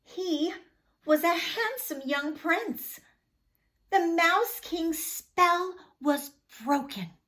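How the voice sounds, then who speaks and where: A woman's voice: very high-pitched, extremely slow, bright, forceful tone. A woman narrating a children's storybook expressively, with dramatic, careful delivery for young listeners.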